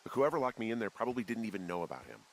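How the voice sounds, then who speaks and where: Low-voiced, weary American man. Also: very animated, a little hiss or hum, poor audio. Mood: somber, anxious. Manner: halting, muttering, conversational. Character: weary, uneasy fire lookout man, plain-spoken. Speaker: video game character. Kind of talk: naturalistic game dialogue.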